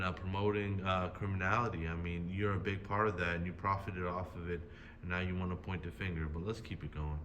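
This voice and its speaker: A man commenting casually on a video he is reacting to, talking in a hesitant, halting way with many fillers and restarts. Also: low voice, fairly bright tone, a little hiss or hum. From United States.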